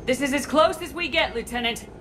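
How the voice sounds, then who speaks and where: A woman's voice: sits high, fairly quick, noisy background, natural voice. An actress playing a firm, clipped female commander. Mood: commanding. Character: hard-edged female human commander. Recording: game score behind.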